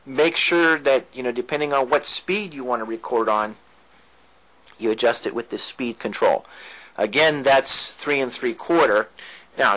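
A man's voice: mid-range voice, fairly bright tone, rough recording. A man giving an informal hands-on equipment tutorial, explaining each step as he goes. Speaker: demonstrator of vintage tape equipment. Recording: background noise on home video.

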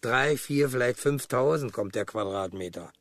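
A man's voice: mid-range voice, lively intonation, moderate pace, dry recording, close to an everyday voice. A man playing an eager, sardonic police detective in a naturalistic radio crime drama, matter-of-fact and wry. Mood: brisk, factual. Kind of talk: naturalistic German radio play acting.

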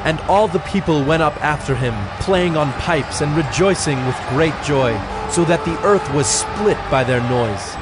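A man reading scripted narration for a dramatized Bible in a measured storytelling manner. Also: on the high side, animated, heavy background noise. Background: prominent music.

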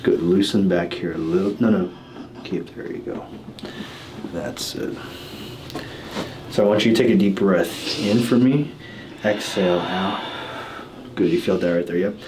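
A man's voice: deep voice, measured pace, long pauses. A man working as a chiropractor, giving casual, reassuring hands-on instructions to a patient. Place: small indoor treatment room. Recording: noisy small room recording.

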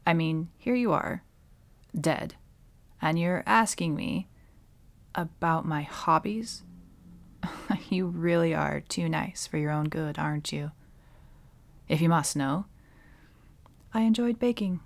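Centuries-old witch villainess for audio roleplay female actor: unhurried, deep-pitched, sardonic and bitter, softening into breathy near-whispers. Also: no room sound, full character voice. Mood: dry, sardonic. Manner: slow, sardonic, dropping often into breathy whispers. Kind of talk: ASMR dramatic roleplay monologue.

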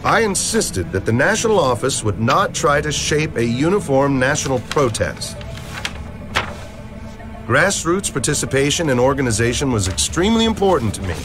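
A man's voice: mid-range voice, sing-song, moderate pace, natural voice. A man playing an earnest, determined middle-aged senator in a declamatory, emphatic, scripted comic-book dramatization. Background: music.

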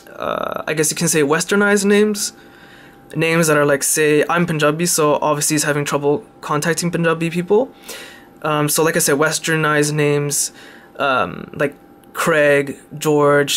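Male tech presenter, slightly higher pitch, informal and halting unscripted demo. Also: a bit bright. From Canada. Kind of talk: casual unscripted phone demonstration.

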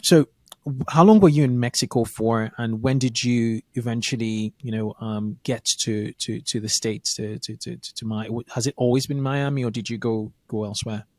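A man hosts an interview podcast from a small studio, speaking into a close microphone that sounds dry. His voice sits at an ordinary middle pitch, and he talks in a chatty way, often repeating words while he thinks. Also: fairly even intonation, normal speed, no echo. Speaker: podcast host. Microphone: studio mic with headphones.

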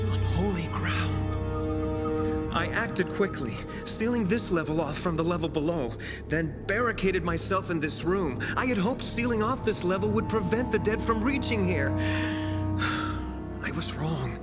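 Scared game priest, fairly high voice, dramatic, halting, music underneath. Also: male voice. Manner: fearful, faltering, dramatic. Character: frightened male priest hiding among the undead. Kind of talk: video game dialogue acting.

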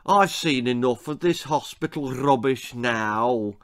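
A man's voice: medium pitch, takes it slowly, fairly bright tone, clear, resonant tone, voice pushed down. A man with an English accent voicing an oblivious, silly mother in a comic children's story, gushing and unconcerned.

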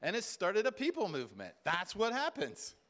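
Man, middle-aged, conference speaker, conversational sermon, slightly high voice, acts out dialogue. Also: wildly swooping pitch, normal speed, a bit bright. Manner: conversational, repeats words, acts out quoted dialogue. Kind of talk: informal sermon-style teaching. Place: large indoor hall with seated audience. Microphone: far camera at back of room.

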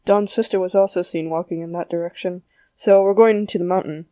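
A woman playing a low-key, determined young child in an audio drama, casual and understated. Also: fairly even intonation, fairly quick, dark tone, no echo, natural voice.

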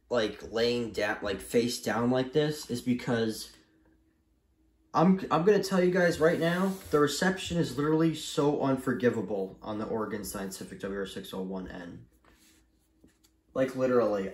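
A man giving a casual, unscripted review in a halting, repetitive and emphatic way, recorded in a room at home. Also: medium pitch. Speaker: vlogger reviewing gadgets. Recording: roomy, echoey home recording.

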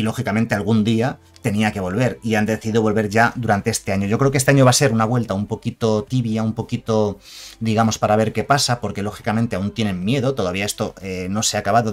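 A man in his thirties with a fairly low voice, talking casually to his viewers about game news from a small room at home. He speaks into a headset, drawls through lists of card names and keeps asking his audience if they follow. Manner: chatty, reads card names slowly, asks for agreement with ¿vale?. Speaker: gaming channel host. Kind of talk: casual news commentary to camera.

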